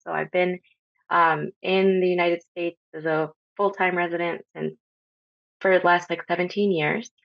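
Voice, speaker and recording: A woman in her thirties from Honduras, a podcast guest talking casually with many pauses and ums. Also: fairly low pitch, fairly even intonation, vocal fry, no room sound. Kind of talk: casual interview talk. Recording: slightly dull lo-fi audio.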